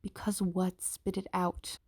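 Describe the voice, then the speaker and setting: A woman playing a menacing, possessive dragon shifter queen in an ASMR roleplay, commanding and often slipping into breathy whispers. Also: fairly low pitch, level tone, slow pace, no room sound. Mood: haughty, possessive.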